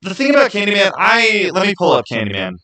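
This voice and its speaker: Bright-voiced man chatting casually on stream. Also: high voice, wildly swooping pitch. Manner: informal, opinionated, halting and repetitive. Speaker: livestream host. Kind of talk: casual livestream chat answering viewer comments.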